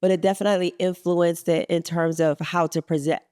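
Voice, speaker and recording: A woman from Suriname co-hosting a podcast in casual chat, affirming and measured. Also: fairly low pitch, fairly even intonation, a little mellow, clean tone, no room sound, clean studio sound. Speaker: podcast co-host.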